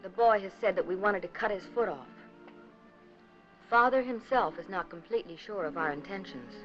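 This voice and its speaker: A woman delivering scripted 1950s documentary narration in an earnest, measured, rhetorical manner. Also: mid-range voice. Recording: dull 1950s film soundtrack, music behind. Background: background music.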